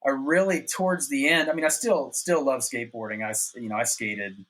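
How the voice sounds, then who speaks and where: A man's voice: mid-range voice, a little fast, a bit bright. A middle-aged man from North Carolina, a podcast guest telling laid-back, rambling stories with frequent laughs. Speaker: podcast guest, former pro snowboarder. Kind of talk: casual storytelling.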